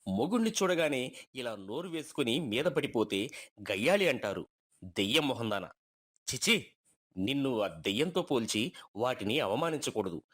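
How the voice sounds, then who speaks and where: A man's voice: mid-range voice, very animated, normal speed, pauses often, a bit bright, slightly rough. A man voicing an assertive village husband with a blunt, lecturing manner in a moral story. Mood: scolding.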